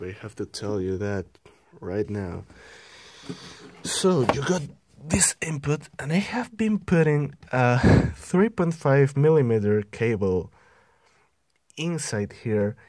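Slow, halting man on a noisy recording. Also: mid-range voice, dark tone. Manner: halting, rambling, informal. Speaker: vintage radio collector showing a find. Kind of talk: casual unscripted show-and-tell. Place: indoors, small cluttered room at home. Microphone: handheld phone or camera built-in mic. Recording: noisy handheld camera sound with clicks.

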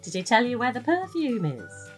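A British woman acting a warm, teasing, easily delighted detective's wife in a gentle, naturalistic radio drama. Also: a little high, wildly swooping pitch, normal speed, soft background music. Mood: warm, interested. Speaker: actress in an audio drama.

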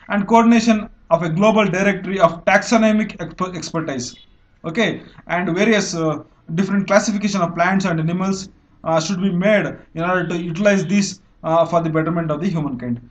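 A young man with an Indian English accent, teaching an exam-prep lecture over slides, explaining step by step with frequent fillers and self-corrections. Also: high-pitched. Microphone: voice-over recorded over screen annotation.

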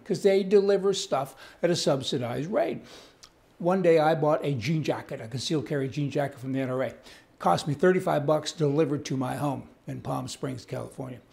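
A man's voice: fairly high pitch, creaky, a little mellow. An older man, giving indignant, rambling, folksy news commentary as host, reading to camera on an indoor studio set. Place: indoor studio set with wood-panel wall.

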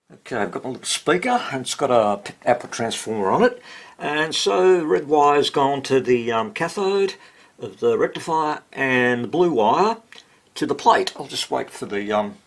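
An older man with a husky voice, giving casual, halting hands-on commentary as he tinkers at an indoor workbench. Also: mid-range voice, sing-song. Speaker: radio repair hobbyist.

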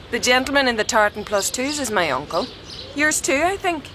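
An actress playing a frank, self-assured young woman of the 1920s in a radio drama, brisk and teasing. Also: sits high, very animated, background noise. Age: young adult.

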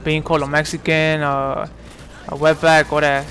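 A man's voice: on the high side, flat delivery, moderate pace, a bit bright. A man in his twenties from New York giving casual, rambling commentary over gameplay, full of fillers and restarts. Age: 20s. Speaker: gaming commentator.

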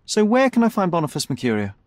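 A young, analytical detective for a game cutscene. He speaks politely and coolly in a slightly high voice with plenty of movement in pitch. The sound is dry and close. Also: big pitch swings, quick pace, no echo. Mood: polite, probing. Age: young adult. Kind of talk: video game cutscene acting.

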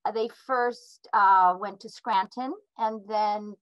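Woman, 60s, first language Ukrainian, slow and hesitant lecturer on a muffled, dry video call. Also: medium pitch, fairly even intonation, no room sound. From Philadelphia, USA. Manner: hesitant, restarts often, slow and careful. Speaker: artist lecturer. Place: home room with a wood stove. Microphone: laptop webcam mic on a video call.

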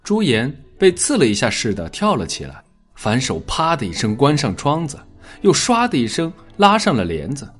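A man narrating a dramatic scripted story in a measured, expressive storytelling manner. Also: medium pitch, soft background music. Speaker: audiobook narrator.

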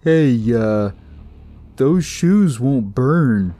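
A man's voice: medium pitch, lively intonation, drawn-out words, dark tone, no room sound, pitched above the performer's own voice. A man voicing a whiny, boastful, spiteful devil worshipper in an audio horror story. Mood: pleading. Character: snarling, whiny devil-worshipping male villain, smug and spiteful.

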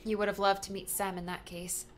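Candid young daughter played by an actress, wry and conversational, medium-pitched, for audiobook drama. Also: female voice, level tone, brisk, faint music behind, natural voice. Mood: candid, subdued.

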